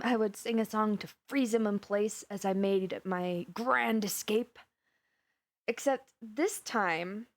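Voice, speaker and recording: Woman acting a giddy, chatty young villainess. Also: on the high side. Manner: gossipy, rambling, animated storytelling with giggles. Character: giddy, chatty, flustered young villainess confiding in her best friend. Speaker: voice actor in an audio roleplay. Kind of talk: conversational audio roleplay monologue.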